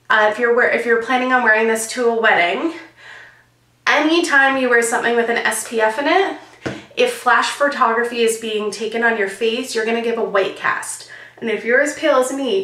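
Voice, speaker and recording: A woman's voice: fairly high pitch, a bit bright. Froggy-voiced woman vlogging. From Canada. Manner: chatty and jokey, demonstrating while talking. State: hoarse, froggy voice. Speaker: beauty vlogger. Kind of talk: casual product review. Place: small bright bedroom, indoors. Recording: roomy, slightly distant camera sound.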